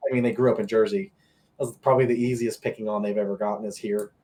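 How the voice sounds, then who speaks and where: A man's voice: on the low side, steady tone, quick pace, dark tone. A young man from Colorado, a podcast guest and streamer, talking in a laid-back, casual way with some swearing. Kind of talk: casual chat.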